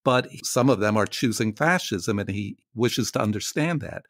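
A man's voice: lowish voice, expressive, moderate pace, no room sound, clean studio sound. A man interviewing on radio in a conversational way, summarizing ideas and putting questions.

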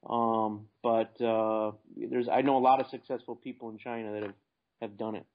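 A man's voice: fairly low pitch, little pitch movement, moderate pace. An American man talking casually and plainly as the guest in an investing interview. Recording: dull lo-fi internet call.

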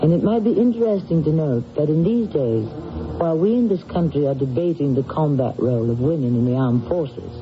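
A woman narrates as host of a radio mystery series, reading a script. The sound is a dull old broadcast with faint music behind her. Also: sits low. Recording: dull 1980s radio recording.

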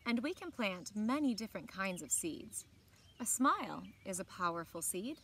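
A woman in her thirties reading aloud to children in an expressive storytelling style, with short asides. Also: medium pitch, normal speed, a little hiss or hum. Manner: expressive, clearly paced reading to young listeners. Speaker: library story time reader. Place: outdoors on a lawn beside evergreen trees. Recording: outdoor ambience with birdsong. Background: birds and outdoor ambience.

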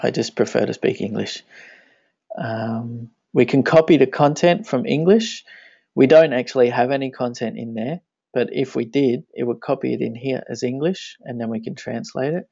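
A man with a gravelly edge to his mid-pitched voice talks casually through a software tutorial, narrating each click as he goes. He speaks English, though his first language is Danish. The recording is dry and dull, with mouse clicks behind him. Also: normal speed, dry recording. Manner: casual, thinking aloud while clicking. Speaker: web tutorial instructor. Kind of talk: screencast tutorial walkthrough.

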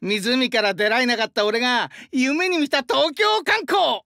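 A male actor voicing a burly, friendly young sumo-wrestler god in an anime game, with rough, hearty speech and a rural Shinshu Japanese dialect. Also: very high voice, a little slow, sharp tone, no echo, clean studio sound, full character voice. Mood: eager, friendly. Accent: rural central Japanese dialect (Shinshu). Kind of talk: anime game character voice lines.

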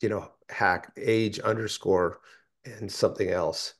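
A man with a fairly low voice talks through technical material on a group call. He presents step by step, pausing and rephrasing as he thinks aloud. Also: fairly even intonation. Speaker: meeting presenter. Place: indoor room, video call.

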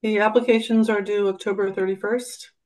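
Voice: medium pitch, level tone, a little fast. A department staff member giving matter-of-fact answers on a video call.

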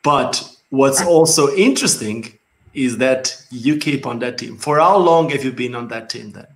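A man's voice: mid-range voice, moderate pace. Portuguese-accented man hosting a video call. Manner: animated, laughing, gestures a lot. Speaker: host. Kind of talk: casual video-call interview. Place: dim home room. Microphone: headset on video call.

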